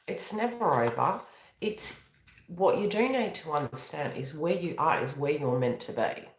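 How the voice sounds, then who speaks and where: A woman's voice: deep voice, expressive, moderate pace, roomy sound, rough recording. Tired rambling New Zealand woman. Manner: rambling, conversational, frequent self-corrections and fillers. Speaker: tarot reader and astrologer. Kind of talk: live-streamed spiritual reading.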